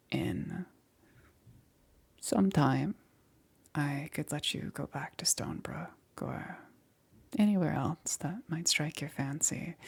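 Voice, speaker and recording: Casting: woman to play a caring witch for ASMR roleplay, husky whisper with crackly fry, halting and reassuring. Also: sits low, exaggerated voice. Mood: earnest, reassuring. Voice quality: soft, breathy, often whispered. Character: gentle, apologetic witch necromancer caring for her undead patient. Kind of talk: ASMR roleplay, intimate and slow.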